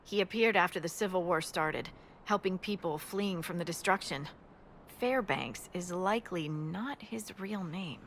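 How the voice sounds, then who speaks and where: A woman's voice: on the low side, unhurried, fairly bright tone, slight background noise. A woman voicing a friendly, informal army scout in a video game, giving briefings conversationally. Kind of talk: video game dialogue acting.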